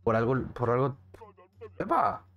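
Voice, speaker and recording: A young Mexican man streams a cooking game, chatting loosely and joking with viewers. His voice sits at a middle pitch. Soft music plays faintly underneath. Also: big pitch swings, a little slow, lo-fi sound. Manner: chatty, jokey, answers chat while playing. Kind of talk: casual gaming commentary.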